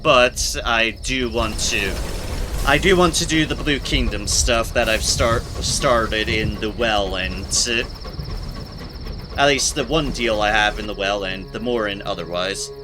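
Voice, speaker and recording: Slightly hoarse man chatting over gameplay. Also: fairly low pitch, a little slow, over music. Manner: chatty, talks to himself, playful asides. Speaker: streamer playing a video game. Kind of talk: casual live gameplay commentary.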